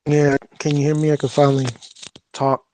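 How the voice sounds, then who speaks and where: A man's voice: a little high, completely flat delivery, moderate pace, a little mellow, slight background noise, poor audio. A man joining a live online audio chat as a guest, losing his voice, casual and self-deprecating. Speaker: guest speaker. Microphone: online audio call.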